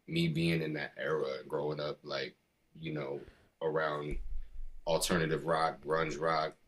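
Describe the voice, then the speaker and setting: A man's voice: extremely low pitch, little pitch movement, measured pace, a bit bright. A middle-aged American man from the East Coast, a hip hop artist being interviewed, talking in a loose, slangy, rambling way. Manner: slangy, rambling, peppered with "you know" and "man". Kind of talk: casual conversation.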